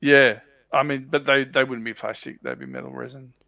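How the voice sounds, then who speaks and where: A man's voice: medium pitch, fairly even intonation, fairly quick, creaky, pushed and bright, dry recording, lo-fi sound. A man chatting casually about his hobby as a panel member on a livestream.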